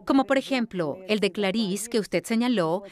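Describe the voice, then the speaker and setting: An actress voices a scholarly female interviewer in a dubbed conversation. Her pitch is medium for a woman. She is attentive and articulate, putting things in an inquisitive, conversational manner. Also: lively intonation, moderate pace, close to an everyday voice. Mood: engaged. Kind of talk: Spanish voice-over dub of an interview.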